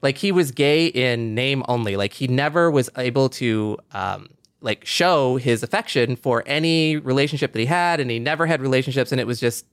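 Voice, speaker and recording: A man in his forties with a mid-pitched voice, recorded very dry. He speaks earnestly and optimistically as a podcast panelist, halting now and then as he works through a thought. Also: smooth, no echo. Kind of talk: reflective podcast conversation.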